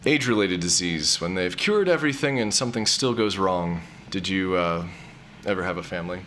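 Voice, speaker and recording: A man's voice: low voice, lively intonation, brisk, fairly bright tone, a little hiss or hum. A man playing an awkward, melancholy, exasperated character in an audio comedy-drama, with a hesitant, stammering delivery.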